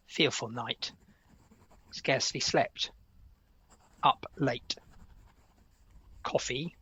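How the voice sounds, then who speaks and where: A man's voice: medium pitch, fairly bright tone, husky, no echo, dull audio. A British man acting an exasperated, serious Victorian composer in a radio play, speaking earnestly and formally. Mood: suffering, weary. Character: weary, exasperated male Victorian composer, serious and self-pitying.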